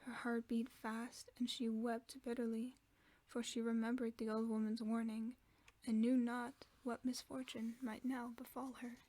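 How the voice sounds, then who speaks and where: A woman reading a fairy tale aloud for sleep in a soft, steady whisper. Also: a little high, a little slow, hardly any pauses, no echo. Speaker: ASMR storyteller.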